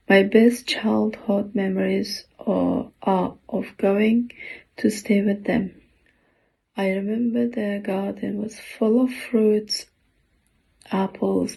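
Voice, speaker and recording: A woman in her forties from Afghanistan, with an Afghan accent, reading her own poem slowly and haltingly, pausing between phrases. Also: mid-range voice, creaky, warm tone, husky, poor audio. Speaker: poet.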